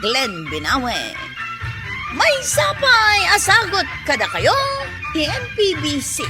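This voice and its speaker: A woman's voice: very high-pitched, wildly swooping pitch, slow, loud music behind the voice. A woman reading scripted credits dramatically as the announcer of a radio drama.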